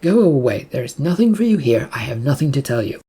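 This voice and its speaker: A reader acting out an imperious woman executive in a story, evasive and threatening. The voice is mellow and a little husky, with formal, curt commands. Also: mid-range voice, big pitch swings, fairly quick. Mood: angry. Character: imperious, angry female CEO, evasive and threatening.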